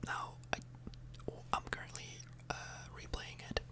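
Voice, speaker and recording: A woman speaking in a breathy, unvoiced whisper close to the microphone, rambling informally and a little hesitantly in an ASMR style. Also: measured pace. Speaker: ASMR video host.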